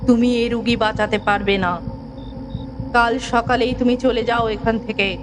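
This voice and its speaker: A woman playing the sorrowful, determined ghost of a dead mother in a horror audio story, slow, solemn and insistent. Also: on the high side, fairly even intonation, noisy background, natural voice. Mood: stern.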